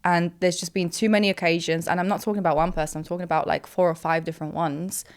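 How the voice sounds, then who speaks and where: Smooth, low-voiced young woman guest. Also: flat delivery, fast. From Poland. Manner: chatty, rambling, with frequent fillers and laughs. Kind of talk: casual interview chat.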